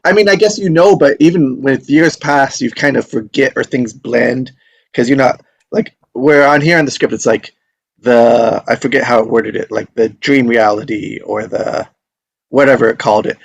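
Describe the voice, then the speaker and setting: Creaky-voiced man hosting a casual discussion. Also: mid-range voice, moderate pace. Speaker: table read host.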